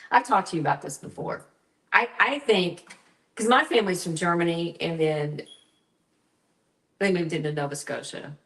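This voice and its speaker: A low-pitched woman with a slight Southern accent talks in an outgoing, upbeat way, quick to agree. Her voice has a roomy echo around it. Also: fairly bright tone. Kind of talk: casual chat.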